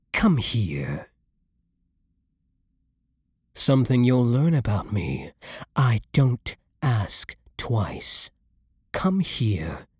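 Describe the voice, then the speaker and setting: A man voicing a centuries-old, possessive vampire lord in an ASMR roleplay, silky and commanding with a breathy voice that often sinks into whispers. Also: medium pitch, darkish tone, no echo, studio quality, heavily acted. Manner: slow, theatrical, silky, commanding, condescending. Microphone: close studio microphone, dry.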